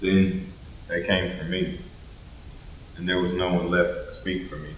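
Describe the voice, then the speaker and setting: A man's voice: deep voice, level tone, measured pace, slight background noise. A man giving a solemn, emphatic dramatic recitation from a script. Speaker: student performer in readers theater. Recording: echoey room, distant microphone.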